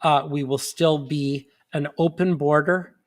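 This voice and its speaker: A man hosts a monthly live stream from what sounds like a home desk setup, talking slowly and loosely with plenty of fillers and restarts. He is sniffly, and his voice sits a little high for a man. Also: fairly even intonation. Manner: rambling, with many fillers and restarts. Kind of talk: casual live-stream chat.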